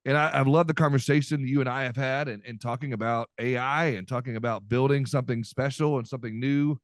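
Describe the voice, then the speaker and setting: A man's voice: mid-range voice, steady tone, fast talker, no room sound. Upbeat folksy man, dry close voice. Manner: upbeat, folksy, many fillers. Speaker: podcast host. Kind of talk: casual podcast chat with ad reads.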